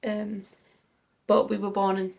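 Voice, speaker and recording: A woman's voice: mid-range voice, monotone, unhurried, gravelly, darkish tone. A woman who grew up in Germany, co-hosting a music reaction chat and thinking aloud in an earnest, casual way. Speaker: co-host of a music reaction channel.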